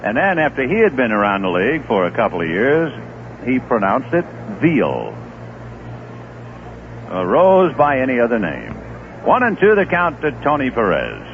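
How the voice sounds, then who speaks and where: A man doing live play-by-play for a baseball radio broadcast, telling relaxed anecdotes between pitches, on a tinny old radio recording. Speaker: play-by-play announcer. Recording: tinny lo-fi 1970s radio broadcast with faint hiss.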